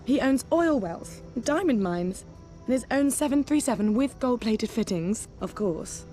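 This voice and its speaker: A woman's voice: fairly high pitch, lively intonation, measured pace, dark tone. A woman acting a flirtatious socialite secret agent in a video game, playful, arch and self-assured. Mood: gossipy, breezy. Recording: music behind the dialogue.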